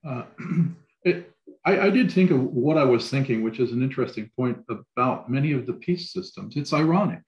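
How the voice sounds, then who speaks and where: A man's voice: mid-range voice, animated, normal speed, darkish tone, highs cut off. American man explaining in an echoey room. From United States. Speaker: academic panel speaker. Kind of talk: explanatory discussion.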